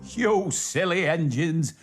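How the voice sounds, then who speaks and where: A man's voice: on the high side, animated, takes it slowly, over music. A man voicing an exasperated, bossy railway boss who scolds emphatically in a children's storybook tale.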